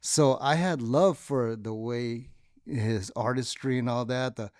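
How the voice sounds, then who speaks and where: A man from the Philippines, whose first language was Tagalog, telling relaxed, rambling stories in a casual interview. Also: mid-range voice, measured pace, no echo, studio quality. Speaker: guest, lowrider club member.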